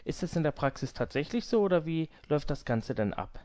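A man's voice: fairly high pitch, big pitch swings, brisk, a little mellow. A man hosting a podcast, putting questions and giving announcements in a polite, orderly way. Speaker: podcast host, interviewer.

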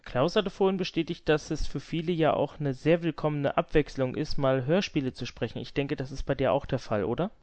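Higher-voiced male interviewer, very dry sound. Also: fairly quick, hardly any pauses, a little mellow, dry recording. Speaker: interviewer, show host. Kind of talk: conversational interview questions.

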